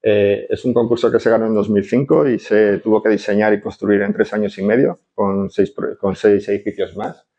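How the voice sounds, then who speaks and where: A man's voice: fairly low pitch, level tone, moderate pace, warm tone. A man from Barcelona giving a conference lecture, hesitant, full of fillers and tag questions. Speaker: architect giving a conference talk. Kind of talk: lecture to an audience. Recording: dull lo-fi conference audio.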